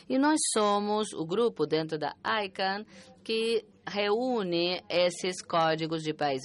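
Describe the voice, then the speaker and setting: A woman working as a conference interpreter, interpreting a talk with an uneven pace that rushes and then slows, pausing and restarting often. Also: fairly low pitch. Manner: uneven pace, alternately rushing and slowing.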